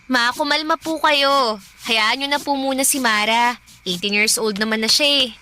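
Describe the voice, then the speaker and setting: A woman's voice: high voice, moderate pace, bright, forceful tone. A woman acting as a cheerful, polite young elder sister and daughter in a radio drama, earnest and upbeat. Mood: placating.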